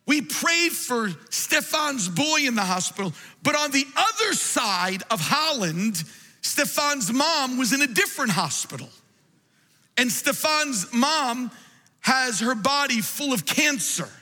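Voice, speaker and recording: A man preaching a sermon in a large church, impassioned and building to shouts. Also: very high-pitched, sing-song, takes it slowly. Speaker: pastor. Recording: long reverb of a large hall.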